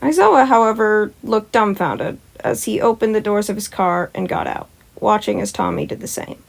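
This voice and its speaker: A woman with a medium-pitched voice speaks as the reader and host of a story channel. Her style moves between narration and informal talk to listeners. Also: lively intonation, moderate pace, creaky, low-quality recording. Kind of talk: scripted story narration and casual asides to listeners.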